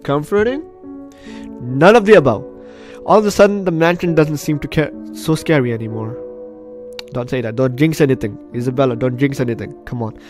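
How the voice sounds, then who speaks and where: A man's voice: medium pitch, brisk, darkish tone. Man doing game commentary over music. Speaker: gaming host. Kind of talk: let's play, reading game text aloud with reactions.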